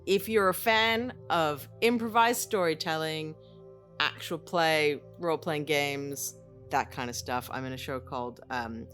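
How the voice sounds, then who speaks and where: A woman chatting casually as a podcast co-host, halting and self-deprecating. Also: low-pitched, a bit bright, soft background music.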